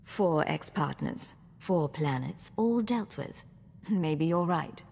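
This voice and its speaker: Cool, lowish-voiced female spy. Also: expressive, creaky, darkish tone, husky, long reverb tail, full character voice. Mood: cool, wry. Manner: measured, cool, dry. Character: composed, dry-witted female imperial secret agent. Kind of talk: video game voice acting.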